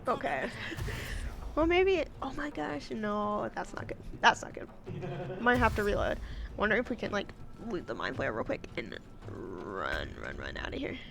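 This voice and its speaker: Wry, lively woman gaming with gravelly edge. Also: mid-range voice, normal speed, background noise. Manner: chatty, exclaims at setbacks, wry self-mocking asides. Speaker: gamer. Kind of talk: casual let's-play commentary. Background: game music.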